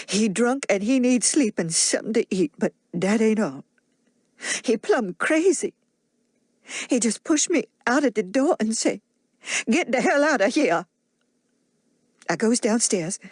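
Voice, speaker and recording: A woman's voice: mid-range voice, darkish tone, no room sound, clean studio sound. A woman voicing a devoted, frightened old nanny with a heavy Southern US accent and plainspoken dialect.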